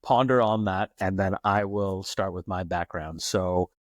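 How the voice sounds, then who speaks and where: A man's voice: low voice, measured pace, no room sound, studio quality. An American man speaking as a podcast guest, telling stories in an emphatic, philosophical way and building his points in long runs. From United States. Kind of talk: reflective conversational storytelling.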